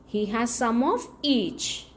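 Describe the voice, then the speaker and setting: A woman reading a picture book aloud to young children in an expressive, deliberate way, stressing key words and posing questions to her little listeners. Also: on the high side. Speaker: children's book reader, channel host.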